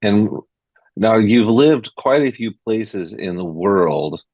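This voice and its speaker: Deep-voiced guy hosting, friendly questions, dry sound. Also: expressive, slow pace, a little mellow, no echo, highs cut off. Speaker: podcast host. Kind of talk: interview questions.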